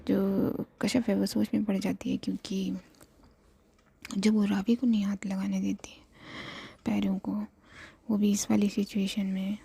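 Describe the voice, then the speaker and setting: Whispering woman storyteller, breathy and dark. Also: mid-range voice, level tone, measured pace, long pauses. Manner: hushed whisper, chats asides to listeners.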